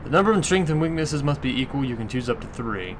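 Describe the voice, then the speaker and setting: A male let's player thinks out loud in a casual, sweary way. His voice is mid-pitched, and faint game noise sits behind it. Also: big pitch swings, fast. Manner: crude swearing, muses aloud. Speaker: let's play commentator. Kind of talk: casual gameplay commentary.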